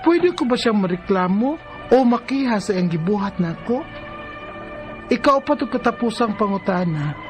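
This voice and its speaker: An actress with a Cebuano Filipino accent playing a distressed, betrayed live-in wife in a radio drama. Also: female voice, mid-range voice, expressive, moderate pace, faint music behind, natural voice. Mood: troubled, earnest.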